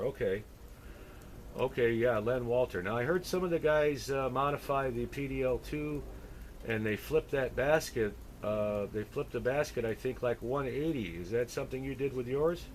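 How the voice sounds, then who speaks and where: Man, amateur radio operator, casual two-way chat, halting delivery, light noise. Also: medium pitch. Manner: halting, thinking aloud, spells with phonetic alphabet.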